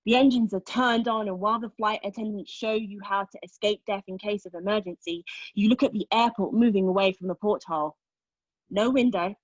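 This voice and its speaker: A woman acting a dramatic monologue as a wounded, reflective woman, telling her story intimately with pauses and emphasis. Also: medium pitch, breathy edge, no room sound, muffled recording, lightly acted. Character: wounded, reflective woman recounting lost love and travel. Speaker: actor in a play table read.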